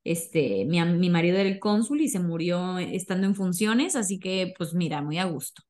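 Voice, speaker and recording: A woman's voice: on the low side, lively intonation, moderate pace, a little mellow. Young Mexican woman, chatty and gossipy. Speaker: podcast host. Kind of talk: casual conversational storytelling.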